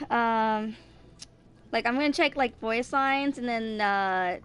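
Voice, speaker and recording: Female gamer, 20s. Clean, smooth, fairly high voice; informal, meandering delivery, thinking out loud as she plays. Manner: halting, distracted thinking aloud. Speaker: gaming video creator. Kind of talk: casual rambling game commentary.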